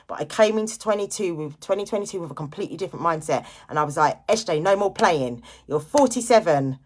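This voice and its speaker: A woman's voice: fairly low pitch, expressive, fast, a little mellow, slightly rough. Emphatic woman in her late forties. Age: late 40s. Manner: emphatic, repeats key phrases for effect; chatty, informal direct address to listeners. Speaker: live-stream host, direct seller giving motivational talk. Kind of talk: casual motivational live chat to viewers.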